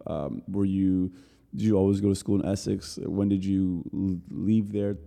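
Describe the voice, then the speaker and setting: A man's voice: low voice, fairly even intonation, normal speed, gravelly, warm tone. A man hosting a podcast interview, talking loosely with rambling questions and frequent restarts. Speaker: podcast host. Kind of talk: casual interview chat.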